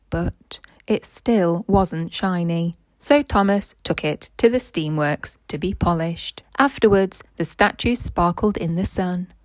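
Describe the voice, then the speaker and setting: A woman's voice: mid-range voice, a little mellow, dry recording. A woman reading a children's story aloud as narrator and quiz host.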